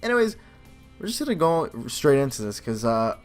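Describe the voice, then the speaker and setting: A man's voice: a little high, big pitch swings, a little fast. Young man gaming host, casual, music behind. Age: young adult. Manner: rambling, self-deprecating. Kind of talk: casual let's play commentary and reading aloud. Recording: faint game music behind voice. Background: game music.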